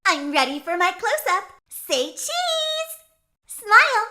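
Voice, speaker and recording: A self-obsessed little animatronic starlet from a horror game. The voice is extremely high, childlike and bright, with lively swoops. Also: female voice, slow pace, heavily acted. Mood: vain, excited. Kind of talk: video game character acting.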